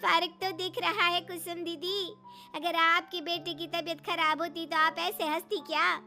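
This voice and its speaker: A plaintive middle-aged Indian aunt performed by a woman narrator, high in pitch and full of reproach. She is an aggrieved mother in a domestic story with soft music. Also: a little slow, exaggerated voice. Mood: bitter, reproachful.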